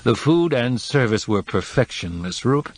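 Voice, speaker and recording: A man's voice: medium pitch, expressive, a little slow. A man voicing a courteous, inquisitive newspaperman with a dry manner. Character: curious middle-aged male newspaperman.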